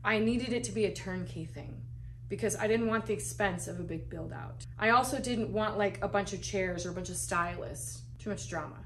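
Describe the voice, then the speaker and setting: A woman from Las Vegas, a salon owner, telling her own story in a frank, salty, animated way. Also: mid-range voice, normal speed, slight background noise. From Las Vegas, United States. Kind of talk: candid personal storytelling.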